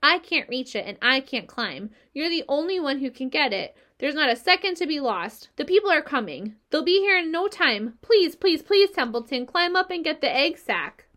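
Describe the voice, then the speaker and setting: A woman's voice: high voice, big pitch swings, moderate pace, few pauses, pushed and bright, voice pushed up, full character voice. A woman voicing an earnest young male pig begging for help. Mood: pleading. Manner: pleading, urgent.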